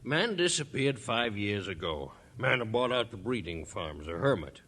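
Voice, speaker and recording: An actor playing a grumpy, skeptical small-town doctor with a gruff, folksy manner in an old radio drama. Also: male voice, on the low side, very animated, moderate pace, a bit bright, exaggerated voice. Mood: sardonic. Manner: gruff, folksy, dismissive speech. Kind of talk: 1940s-50s radio drama acting. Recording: noisy, clicky vintage radio recording.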